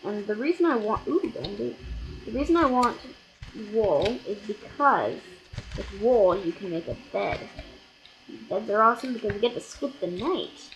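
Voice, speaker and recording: A let's play gamer giving rambling, self-correcting commentary on a block-building game, with sudden excited outbursts, recorded at a home computer. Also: mid-range voice, normal speed, slight background noise, low-quality recording. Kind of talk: casual gameplay commentary.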